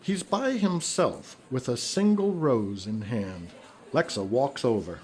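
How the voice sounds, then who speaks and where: A man narrates a radio play's stage directions in a husky voice. He takes his time, and his pitch moves up and down in a lively way. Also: takes it slowly.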